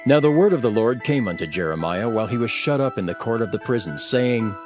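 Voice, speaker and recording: A man narrating scripture from a script in a solemn, measured and formal manner. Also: mid-range voice, animated, music in the background.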